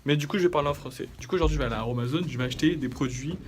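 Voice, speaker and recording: A man's voice: a little high, rapid-fire, noisy background. A young man vlogging in a playful, bossy way, switching between French and English and laughing often. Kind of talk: casual vlog chat.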